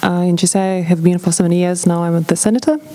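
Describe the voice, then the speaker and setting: Mellow-voiced woman from Lithuania. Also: fairly low pitch, steady tone, fast, a little hiss or hum. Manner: simple, slightly broken English. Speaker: conference participant. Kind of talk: self-introduction.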